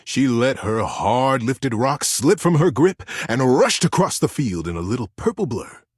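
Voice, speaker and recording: A man reading a children's story aloud in an expressive, suspenseful, dramatic storybook style. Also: medium pitch, measured pace, slightly rough, dry recording, studio quality.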